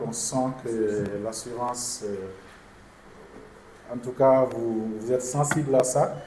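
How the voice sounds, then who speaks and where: A man's voice: mid-range voice, mellow. Formal man at an echoey press conference. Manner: measured, formal, answering questions point by point. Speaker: insurance company executive. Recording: echoey room with background noise.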